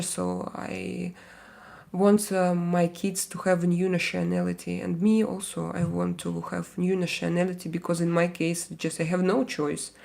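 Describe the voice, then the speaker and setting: A woman from Russia speaking English with a Russian accent, hosting a casual live stream and chatting with viewers, hesitant and full of pauses and fillers. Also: lowish voice, gravelly, a little mellow. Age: adult with young kids. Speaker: livestream host.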